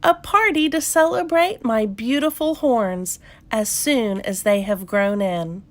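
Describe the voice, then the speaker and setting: A woman's voice: on the high side, slow pace. A woman voicing a young female goat kid, wistful and eager, in a plaintive, childlike storybook manner. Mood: excited.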